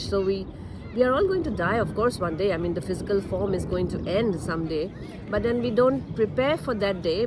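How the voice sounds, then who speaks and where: A woman's voice: mid-range voice, noisy background. A woman hosting a podcast interview, speaking in long, encouraging, reflective turns. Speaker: podcast host.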